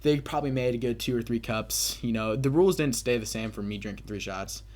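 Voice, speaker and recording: A young man with a mid-pitched voice rambles through a casual story as if chatting to his viewers. He swears freely and talks with crude, self-mocking bravado, in the loose style of gameplay commentary. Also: fairly quick, darkish tone. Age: young adult. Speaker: gaming video commentator.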